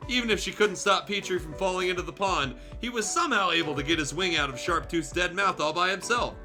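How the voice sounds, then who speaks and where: A man's voice: high-pitched, quick pace, bright, forceful tone. A man reading fan fiction aloud as a streamer, narrating dramatically with casual delivery and small self-corrections. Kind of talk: animated story narration with casual asides. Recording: music playing behind the voice. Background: music.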